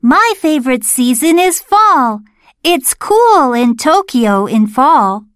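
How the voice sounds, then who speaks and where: A woman reading lines and repeating model words clearly and carefully in a scripted textbook listening drill. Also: high-pitched, big pitch swings, takes it slowly, fairly bright tone, no room sound, clean studio sound. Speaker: dialogue reader.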